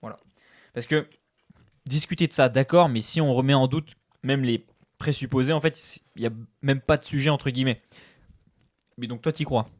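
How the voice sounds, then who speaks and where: A man's voice: mid-range voice, moderate pace, long pauses, no room sound, clean studio sound. A man co-hosting a casual podcast, blunt and provocative in his opinions. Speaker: podcast co-host. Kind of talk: casual chat over a meal.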